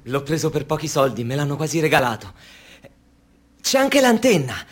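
An actor in a radio play playing a guarded young man who is tense with strangers and gentle with the woman. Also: fairly high pitch, big pitch swings, moderate pace, slightly rough.

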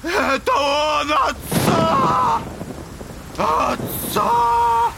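A man's voice: extremely high pitch, big pitch swings, drawn-out words, creaky, heavy background noise, exaggerated voice. An actor playing a ranting, clownish, spiteful old father in an audio drama, theatrical and whining with mock piety. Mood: wailing outrage. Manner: theatrical, whining, mock-pious wailing and shouting. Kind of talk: Russian classic audio drama acting.

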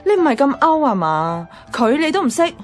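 A woman's voice: high-pitched, big pitch swings, normal speed, faint music behind. A woman playing a feisty, blunt young office worker who loves comics, in a Hong Kong Cantonese radio drama. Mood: indignant, teasing. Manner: teasing, blunt.

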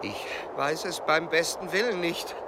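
An actor playing a strained explorer-scientist in a radio play, speaking formally and haltingly as he struggles to concentrate. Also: a little high, heavy background noise, natural voice. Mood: commanding, tense.

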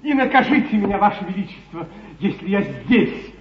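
An ardent young gentleman, devoted and in love, with a high theatrical voice. He is far from the microphone in an echoing hall on an old recording. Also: very animated, normal speed, a little hiss or hum. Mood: impassioned. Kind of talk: 1950s theatrical stage acting in a comedy. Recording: muffled, distant, echoey 1950s theatre broadcast.

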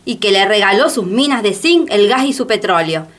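A woman's voice: mid-range voice, expressive, moderate pace, close to an everyday voice. A woman playing an impassioned, well-informed denouncer in radio drama dialogue, emphatic and rhetorical. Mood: indignant.

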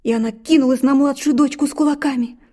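A woman narrating a fairy tale in an expressive storytelling manner, with soft breathy asides. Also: high voice, fast. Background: faint music.

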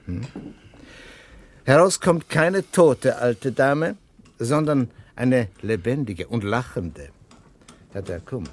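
An actor playing a stern, sceptical police inspector in a 1960s German radio crime drama, questioning in a dry, sarcastic way. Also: male voice, medium pitch, a little hiss or hum.

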